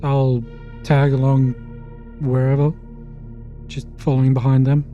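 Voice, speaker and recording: Very dark-voiced casual male player. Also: mid-range voice, level tone, unhurried, muffled recording. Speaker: player in a tabletop role-playing podcast. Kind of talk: casual chat. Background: faint music.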